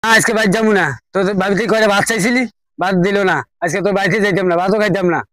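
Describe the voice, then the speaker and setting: A man acts out a sulky villager in a homespun drama, with a Bangladeshi regional accent. His voice sits high and the words come quickly, in a stubborn grumble. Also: fairly even intonation, fairly bright tone, no room sound.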